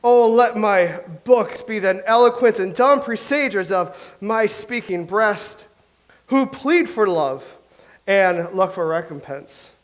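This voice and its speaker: Boastful, rambling young playwright, slightly high voice, as an actor plays him. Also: male voice, animated. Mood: reciting. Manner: rambling, recites verse slowly. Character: chatty boastful young playwright from old England. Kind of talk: staged play reading.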